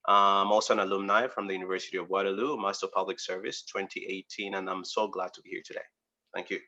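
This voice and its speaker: A man's voice: low-pitched, little pitch movement, fast, bright, forceful tone. A man introducing himself as a panelist, grateful and a little hesitant with fillers. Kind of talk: self-introduction. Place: video call. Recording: dull lo-fi call audio.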